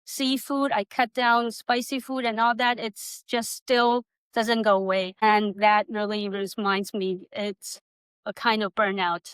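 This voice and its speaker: Workshop presenter, woman from Taiwan, clear and fairly high voice, conversational and loosely structured, dry recording. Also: a bit bright, no echo. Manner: rambling, conversational, non-native grammar. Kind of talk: informal workshop talk.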